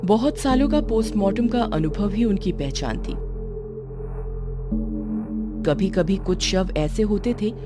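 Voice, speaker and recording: A woman's voice: medium pitch, moderate pace, over music. A woman giving a scripted narration of a horror story.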